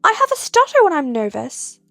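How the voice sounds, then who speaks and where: A woman voicing a deadpan, mouthy, reckless teenage boy vigilante who argues glibly in an audio story. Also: very high voice, a little fast, gravelly, dry recording, studio quality, soft background music, pitched above the performer's own voice, exaggerated voice. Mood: glib, innocent. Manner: glib, unbothered, argumentative.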